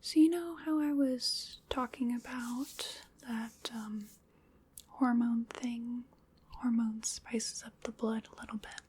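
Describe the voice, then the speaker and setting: A woman voicing a playful, chatty female vampire in a whispered ASMR roleplay, breathy, soothing and wryly polite as she dotes on her prey. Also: a little high, fairly even intonation, slow pace, a little mellow, slight background noise. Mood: coaxing, smug.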